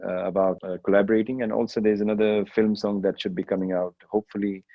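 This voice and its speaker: A middle-aged man from Kenya, a singer answering interview questions in a relaxed way, slipping Hindi phrases into his English. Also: fairly low pitch, fast talker, no echo, highs cut off. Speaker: rapper and singer.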